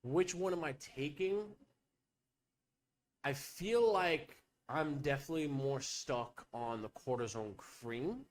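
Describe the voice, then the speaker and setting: A young man rambles through a loose comedy podcast chat, swearing freely and riffing on whatever comes to mind. His pitch swings all over the place, jumping high when he gets worked up and dropping low for mock-serious asides. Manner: profane, riffing, playful bits with voices. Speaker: podcast host.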